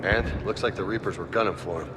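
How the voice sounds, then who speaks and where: An actor playing a gruff, wary male soldier squadmate in a video game. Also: sits low, fast, very noisy, natural voice.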